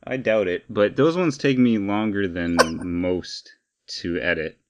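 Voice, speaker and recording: A man with a lowish voice co-hosts a casual gaming podcast. He explains things in plain, informal language and banters easily with his partner. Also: a little slow, noisy background. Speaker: podcast co-host.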